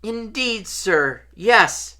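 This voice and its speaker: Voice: mid-range voice, expressive, drawn-out words, bright, forceful tone, pitched above the performer's own voice, heavily acted. A reader voicing a small, anxious male house elf, miserable and devoted, pleading and speaking of himself in the third person. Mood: earnest, anxious.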